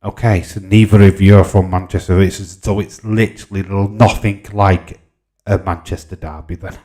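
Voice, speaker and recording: Deep-voiced Yorkshire man, casual streamer. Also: steady tone, normal speed, mellow. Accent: Yorkshire English. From Yorkshire, England. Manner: informal, bantering. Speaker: live stream host. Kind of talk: casual livestream chat, reading out and replying to comments.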